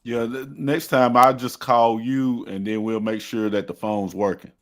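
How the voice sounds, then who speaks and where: A man with a deep voice calling in as a co-host, speaking relaxed and brief. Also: a little slow. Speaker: co-host on a call.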